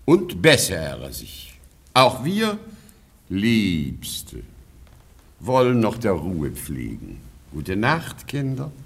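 An old nobleman who rules his family with pompous authority. His delivery swings dramatically up and down in pitch, blustering and commanding in the grand manner of a radio comedy. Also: wildly swooping pitch, extremely slow, gravelly, a bit bright, slightly rough, heavily acted. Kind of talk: theatrical German radio comedy acting.

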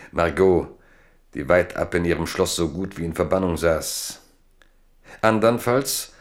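A man narrating a historical radio drama in a measured, literary, dryly ironic manner. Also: deep voice. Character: measured, ironic male chronicler of a royal history.

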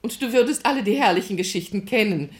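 A storyteller among friends. The voice is husky, mid-pitched and very animated, narrating expressively and without hurry in a radio drama. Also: female voice, fast. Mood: amused, explaining.

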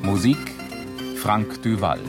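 Guy reading radio drama credits, lowish voice, formal, loud plucked guitar music behind. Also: slow. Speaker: announcer. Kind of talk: scripted radio play credits.

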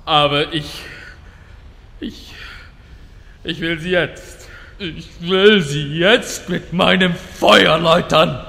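An actor playing a huge fire lord in a comedic fantasy audio drama, grandiose and theatrical, sulking like a hurt child. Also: male voice, fairly high pitch, vocal fry, sharp tone, full character voice. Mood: hurt, whiny. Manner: grandiose, tearfully sulky, drawn-out declaiming. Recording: long hall reverb.

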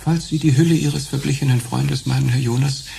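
An actor playing an unctuous, evasive funeral director who talks in pompous, stilted formalities in a radio play. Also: male voice, medium pitch, fairly even intonation, brisk, vocal fry, dark tone, slight background noise. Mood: smoothly condescending.

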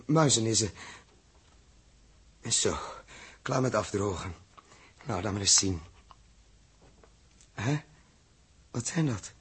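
Uneasy space traveller, raspy and unhurried, halting self-repeating speech, vintage radio play. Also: male voice, on the low side, heavily acted. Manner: halting, muttering, repeats himself. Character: troubled, hesitant grown man Joost, uneasy space traveller. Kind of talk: 1960s radio drama acting. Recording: muffled-voiced old 1960s radio recording.

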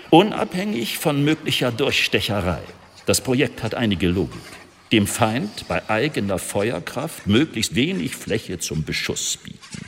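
An actor playing a worldly, self-assured secret service chief in a German historical radio drama, speaking in a measured, urbane way with pointed pauses. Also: male voice, low-pitched. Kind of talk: German radio drama acting, monologue report. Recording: long reverberant hall, faint noise.

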